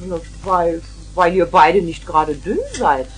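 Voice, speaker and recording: A woman's voice: lowish voice, fairly even intonation, measured pace, slightly rough, rough recording. An actress playing a doting mother who fusses and placates in a 1970s children's radio play. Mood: gently coaxing. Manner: fussing, placating. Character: doting, soothing mother of two young girls.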